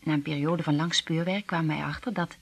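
Poised, husky-voiced secret agent. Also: female voice, deep voice, fairly even intonation, brisk, heavily acted. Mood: confiding, explanatory. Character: poised, cool female royal secret agent. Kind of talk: radio drama acting.

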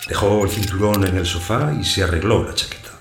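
An actor playing a wary householder who narrates his own story in a scripted audio drama, in a measured, observant way. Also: deep voice. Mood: brooding. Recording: echoey room sound, music behind.

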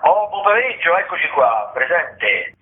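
Male critic phoning in to radio, slightly high voice, discursive and hesitant, tinny line. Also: sing-song. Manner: hesitant, discursive, with filled pauses. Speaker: theatre critic, radio guest presenting listings. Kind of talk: conversational radio listings commentary.

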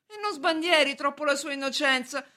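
A woman's voice: sits high, fairly quick, bright, forceful tone. A woman playing a condescending, evasive police brigadier in a radio play, with an officious, patronising delivery. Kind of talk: radio drama stage acting.

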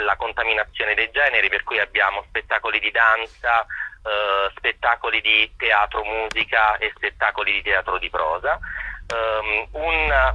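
A man's voice: lowish voice, sharp tone, breathy edge. A man giving an informative interview as a guest over a dull telephone line. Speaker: interview guest, festival artistic consultant.

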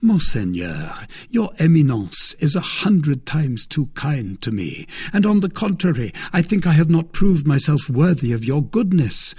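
A man acts out a young, brave guardsman from a historical novel. He is deferential and formal, choosing his words warily, with highly animated pitch and a husky edge. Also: unhurried, full character voice. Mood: respectful, earnest. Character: brave, respectful, wary young male guardsman.

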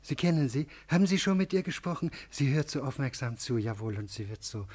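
A man's voice: medium pitch, animated, brisk, darkish tone, slightly rough. An actor playing a timid, servile, frail man in his forties in a radio drama, hesitant and stammering, often repeating his words. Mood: eager, shy. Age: 40s. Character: timid, servile, frail man of forty-three, humble town fool. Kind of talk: German radio drama acting.

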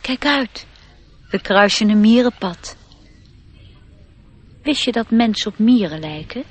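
A knowing nature elf for radio drama. The voice sits at a middle pitch, teaching as it goes and gently superior. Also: female voice, expressive, slow. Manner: instructive, slightly chiding. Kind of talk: radio play acting.

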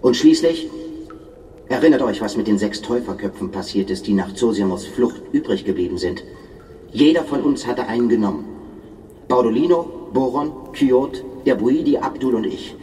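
A man's voice: medium pitch, lively intonation, moderate pace, mellow, far from the mic, slight background noise, lightly acted, rough recording. An actor playing a bitter, menacing poet who commands and accuses his comrades in a German radio drama. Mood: accusing, insistent.

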